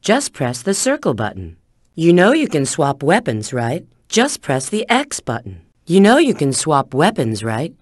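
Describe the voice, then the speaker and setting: A woman's voice: sits low, huge pitch swings, a little slow, darkish tone, no echo, clean studio sound, full character voice. A woman voicing a confident, teasing bat treasure hunter in a video game, coy and instructive. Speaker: video game character giving hints. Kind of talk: video game voice acting, tutorial prompts.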